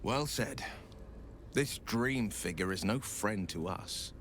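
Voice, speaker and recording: A man's voice: lowish voice, takes it slowly, a bit bright, a little hiss or hum. A man playing a gallant, roguish adventurer in a video game, talking with eloquent, theatrical flourish. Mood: wary, firm.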